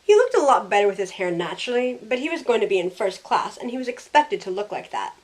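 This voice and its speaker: Girl playing a sassy young modern woman telling her story, wry and chatty, audio drama heroine, mid pitch. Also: very animated, quick pace, a little mellow, lightly acted, low-quality recording. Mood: fond, wry. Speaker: narrator and lead character. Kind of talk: first-person story narration with in-character dialogue.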